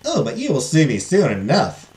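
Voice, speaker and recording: A woman's voice: sits low, huge pitch swings, a little mellow. Woman voicing an echoey Southern fairy godmother. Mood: grand, reassuring. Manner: measured, formal, theatrical. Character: fairy godmother, benevolent, grand, teasing supernatural female spirit. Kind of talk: storybook character voice.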